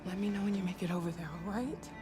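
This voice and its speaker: A woman's voice: mid-range voice, quick pace, over music, lightly acted. An actress playing a kindly, protective middle-aged woman in a game, earnest and reassuring as she helps fugitives. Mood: tender, concerned.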